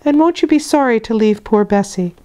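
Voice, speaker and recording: Storybook nursemaid, young and female played by a woman narrator: high, clear, brisk, chiding yet kindly. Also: expressive, dark tone, close to an everyday voice. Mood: teasing, fond.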